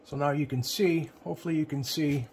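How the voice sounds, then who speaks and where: A man giving a casual hands-on tutorial as a repair technician, plain-spoken and often pausing mid-sentence. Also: on the high side, fairly even intonation, darkish tone. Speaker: CB radio repair technician presenting. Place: indoor electronics workbench. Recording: clear background noise, home workbench room.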